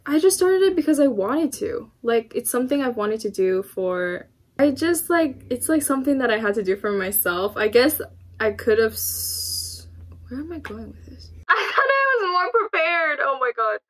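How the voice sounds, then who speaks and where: This is a young woman of eighteen chatting to the camera in her bedroom. Her voice sits a little high, and she rambles, dropping in many fillers and small laughs as she answers viewer questions. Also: very animated, moderate pace. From United States. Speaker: YouTuber. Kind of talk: casual Q&A vlog to camera. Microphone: camera in front of her, laptop beside it.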